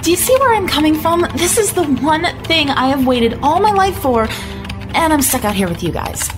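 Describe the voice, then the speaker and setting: A woman acting an impatient spaceship crew member in an audio drama. Her high voice swings around as she nags and complains. Clear music sits behind her. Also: very animated, normal speed. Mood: whiny, impatient. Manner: complaining, insistent. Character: restless, whining young female crew member, eager and impatient. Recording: loud music bed under the voice.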